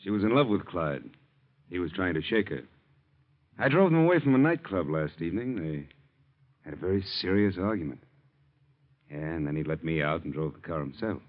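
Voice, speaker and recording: Sly chauffeur blackmailer, very deep, swooping delivery, oily and mock-polite, tinny vintage radio sound. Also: male voice, big pitch swings, normal speed, pauses often. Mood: accusing. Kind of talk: old-time radio drama acting. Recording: muffled tinny old radio recording.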